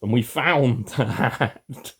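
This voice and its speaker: An older or middle-aged man talking to camera in a rambling, personal way, gravelly and husky, halting and then suddenly emphatic. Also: mid-range voice, fairly bright tone. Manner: emphatic, halting, with dramatic swells and drops. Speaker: video maker giving a reading.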